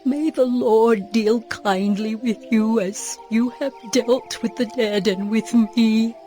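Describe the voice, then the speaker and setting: A woman playing a grieving, bitter but tender older widow in a dramatized audio Bible, pleading in a deliberate way. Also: fairly high pitch, steady tone, warm tone. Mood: tender, sorrowful. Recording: background music under the voice.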